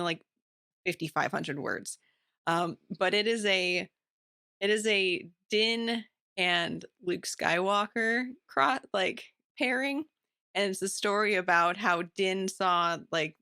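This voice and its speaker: A woman's voice: fairly low pitch, a bit bright, no echo, clean studio sound. A woman co-hosting a podcast, talking casually and with enthusiasm about what she reads. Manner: informal, enthusiastic. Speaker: podcast co-host. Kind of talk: casual book-club chat.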